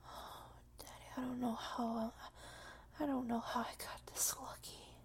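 Woman acting a breathy, tearful, high-pitched whispering lover. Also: flat delivery. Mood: grateful, emotional. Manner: halting, slow, repeating broken phrases. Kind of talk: intimate whispered audio roleplay monologue.

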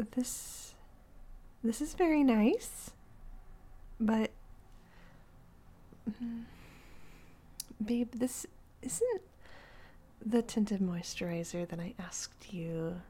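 A woman acting out a bossy, scolding young girlfriend in an audio roleplay, slipping between hushed whispers and loud outbursts and laughing mockingly as she talks. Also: a little high, husky, exaggerated voice. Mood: exasperated. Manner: frequent laughter while talking.

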